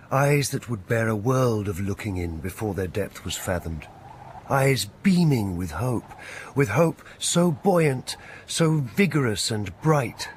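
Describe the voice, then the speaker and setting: An actor playing a solemn, poetic storyteller, narrating a radio drama in a lyrical, earnest way. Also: male voice, fairly low pitch, a little hiss or hum. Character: solemn, poetic storyteller addressing his listeners.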